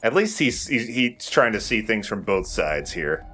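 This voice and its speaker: A man's voice: on the low side, unhurried. A man, a young adult, hosting a Let's Play with casual, rambling, jokey game commentary. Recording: clear steady background noise.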